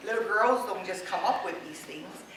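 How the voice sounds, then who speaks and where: A woman's voice: medium pitch, moderate pace. A middle-aged woman from Hawaii with a Hawaiian local accent, telling personal stories informally to an audience, unapologetic and conversational, often checking in with short tag questions. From Hawaii, United States. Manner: unapologetic, conversational, peppered with "right?" and "okay?". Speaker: speaker giving a talk. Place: university classroom. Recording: very echoey room, distant mic, clear noise.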